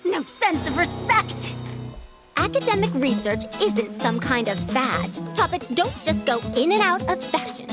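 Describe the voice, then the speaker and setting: A high, animated game-character voice, a scholar over a century old who talks down to youngsters. She is haughty and teasing, with her pitch leaping all around, as loud music plays behind. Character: proud scholar woman, century old, youthful.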